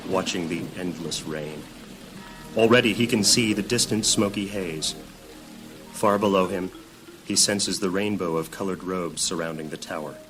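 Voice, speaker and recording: Low deep guy doing solemn fantasy story narration, dramatic, loud music under it. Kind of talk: scripted high fantasy audio drama narration. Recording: loud music and rain behind. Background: music, rain.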